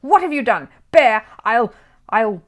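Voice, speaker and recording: A woman puts on a bad-tempered storybook man who bullies and feels sorry for himself. He blusters and grumbles, and his pitch jumps about freely. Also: big pitch swings, takes it slowly. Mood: pained, furious. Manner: growling, groaning, blustering. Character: grumpy, bullying, self-pitying male neighbour. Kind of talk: children's storybook character voice.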